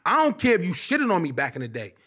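Man in his late twenties comedian guest, highish voice, animated and rambling, casual interview chat. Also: fast talker. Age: 20s. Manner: animated, rambling, lots of filler phrases.

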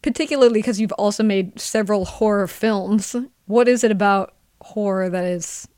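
A middle-aged woman speaks as a podcast host in an easy conversational interview. Her voice is mid-pitched and recorded very dry, with hardly any room around it. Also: moderate pace, darkish tone, no echo.

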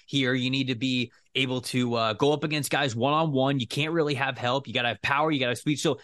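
A man's voice: medium pitch, steady tone, quick pace, fairly bright tone, no echo, studio quality. A man co-hosting a sports podcast, talking through football analysis casually and explaining his points with careful clarifications.

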